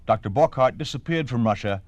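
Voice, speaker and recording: Animated American radio announcer, male. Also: mid-range voice, fairly quick, dry recording, highs cut off. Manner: solemn, emphatic, formal. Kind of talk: 1950s radio announcing, scripted narration.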